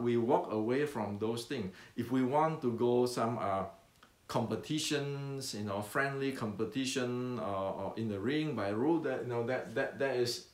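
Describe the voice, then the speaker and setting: An older man with an Indonesian accent speaks unscripted, earnest and insistent, circling back to repeat his points. His speech is broken by fillers, false starts and repeated words. The voice sits at an ordinary pitch in an echoey room. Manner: earnest, repetitive, halting with many fillers and restarts. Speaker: martial arts teacher and channel host. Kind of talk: unscripted talk to camera.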